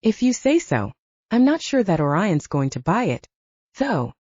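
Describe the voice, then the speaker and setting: A woman's voice: mid-range voice, very animated, unhurried, a little mellow, breathy edge, no room sound. A woman voicing a teasing, playful young man who jokes and banters with his friend in an audiobook. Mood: amused. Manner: joking, bantering. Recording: dull lo-fi dry recording.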